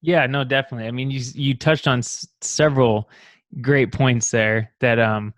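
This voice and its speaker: A man hosting a podcast, chatting in a relaxed, agreeable way with his guest. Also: mid-range voice, normal speed, no echo. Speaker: podcast host. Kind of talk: casual interview chat.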